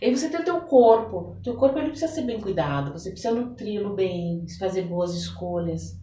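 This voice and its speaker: A woman's voice: mid-range voice, expressive, fairly quick, warm tone. A woman with a Brazilian Portuguese accent explaining her practice in a conversational interview, reflective and often checking for agreement. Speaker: interview guest, holistic therapist and folk blesser. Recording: echoey room, distant mic, faint background noise.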